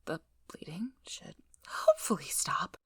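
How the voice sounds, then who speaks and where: Woman acting a flustered, animated nerdy researcher. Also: fairly low pitch, unhurried, breathy edge, no room sound, in a whisper, clean studio sound, full character voice. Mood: nervous, wry. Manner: flustered, apologetic, rambling, nerdy enthusiasm. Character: nervous, eager, rambling young female monster researcher. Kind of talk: ASMR roleplay audio drama.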